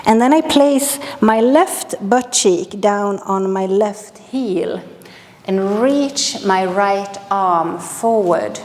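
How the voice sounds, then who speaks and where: A woman from Sweden with a Swedish accent, calmly guiding a movement class with unhurried instructions and frequent pauses. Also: mid-range voice, big-room sound. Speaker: movement teacher.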